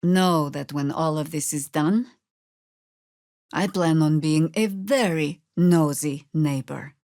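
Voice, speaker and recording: A woman's voice: low-pitched, slow pace, a little mellow, dry recording. A woman playing an older, seasoned druid warrior in a fantasy video game, wry, dry and commanding in manner. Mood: dry, resolute.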